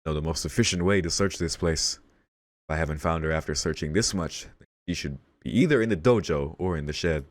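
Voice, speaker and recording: A man's voice: extremely low pitch, a little fast, dry recording, clean studio sound. A man reading a visual novel aloud as a let's play host, with casual reactions and game music behind him. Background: visual novel soundtrack.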